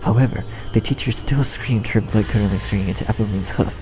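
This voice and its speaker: A man's voice: lowish voice, brisk, low-quality recording. A man reading a scripted story as narrator, in a dramatic storytelling style. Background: music.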